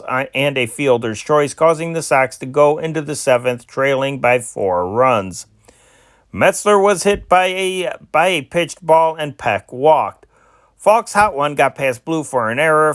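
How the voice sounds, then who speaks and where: A man's voice: on the high side, fairly bright tone, no echo, studio quality. A man who is an amateur reader, reading old newspaper sports stories aloud in an unpolished way, with restarts and filler sounds. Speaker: hobbyist newsreader.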